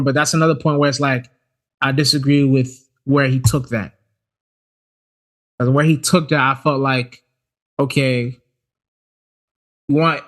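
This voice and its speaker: A man co-hosting a podcast, chatting casually and animatedly, often restarting his sentences. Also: a little high. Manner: animated, frequent restarts and stammers. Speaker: podcast co-host. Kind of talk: casual chat.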